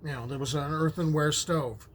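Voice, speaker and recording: A man's voice: fairly high pitch, steady tone, moderate pace. A middle-aged man who grew up speaking Ukrainian at home, giving a casual, rambling lecture on folklore as a history teacher, with many fillers and restarts. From United States.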